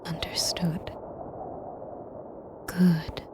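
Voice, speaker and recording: A woman playing a sadistic, possessive female dragon in an intimate audio roleplay, speaking in a measured, formal, breathy and commanding way. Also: on the low side, monotone, in a whisper. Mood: commanding, menacing. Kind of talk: slow, intimate audio roleplay addressed to the listener.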